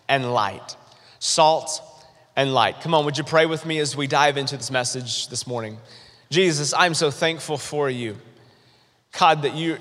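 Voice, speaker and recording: Man, pastor, lively sermon, high voice, long echo of a big church hall. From North Carolina, US. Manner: energetic, stammering restarts, calls for audience response. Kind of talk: sermon with humorous storytelling. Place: large church auditorium. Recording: long reverb of a large hall.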